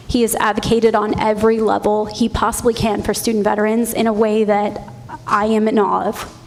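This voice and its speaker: A woman with a slightly high voice delivers prepared testimony at a public hearing. The hall adds a long reverb, with faint noise underneath. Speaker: veterans' advocate giving testimony.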